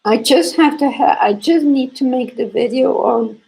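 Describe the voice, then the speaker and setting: A woman with a sore throat and congestion, hosting a casual live knitting lesson and explaining stitches slowly, with frequent restarts. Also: sits high, mellow, rough recording. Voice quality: gravelly, husky. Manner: slow, repetitive explaining with restarts.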